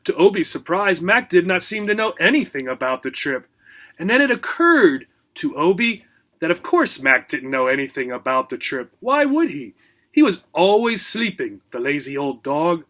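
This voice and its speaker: A man narrates a children's storybook in a high, bright voice. His reading is expressive and theatrical, with lively swings in pitch. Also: normal speed. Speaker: teacher reading a children's book.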